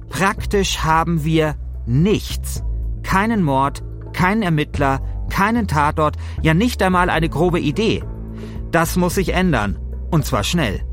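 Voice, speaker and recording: A man hosting a podcast with scripted narration. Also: on the high side. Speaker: podcast host and author. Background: music.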